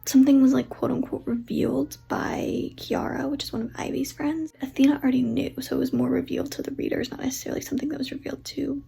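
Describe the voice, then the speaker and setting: A woman's voice: high voice, fairly even intonation, fast, vocal fry, few pauses, warm tone. A teenage girl and author vlogging casually, rambling in a stressed, self-mocking way with a sleepy-sounding voice. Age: teens. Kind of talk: casual vlog chat.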